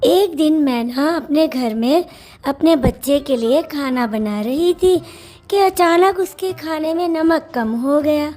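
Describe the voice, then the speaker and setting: A woman's voice: sits high, takes it slowly, a little mellow. A woman narrating a scripted children's story.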